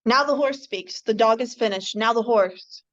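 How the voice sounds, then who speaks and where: A scheming, pushy baboon, played by a woman who barks orders at an animal council in a radio drama table read. The voice is high, and the dull, lo-fi mic softens it. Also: moderate pace, lightly acted. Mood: bossy. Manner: pushy and commanding.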